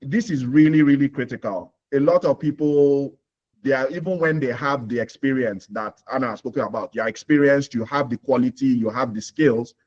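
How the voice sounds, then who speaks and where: A man's voice: medium pitch, a little mellow, dull audio. Nigerian man hosting a career webinar. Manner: explains with homely examples, repeats points, asks "you understand?". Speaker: webinar host. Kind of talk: live Q&A webinar.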